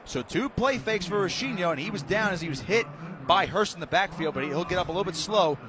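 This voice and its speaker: An American man doing live radio play-by-play of a football game in excitable, clipped running descriptions. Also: high-pitched, brisk, crowd noise. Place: press box high above a stadium. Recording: loud stadium noise, outdoor broadcast.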